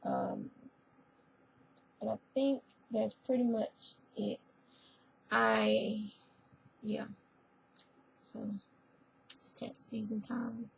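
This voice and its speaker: A woman whose voice is hoarse from a spreading cold, chatting casually in a crafting vlog and often rambling and correcting herself. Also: on the high side, wildly swooping pitch, very slow, long pauses, a little hiss or hum, low-quality recording. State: hoarse, losing her voice. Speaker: fiber arts vlogger. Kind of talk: casual chat.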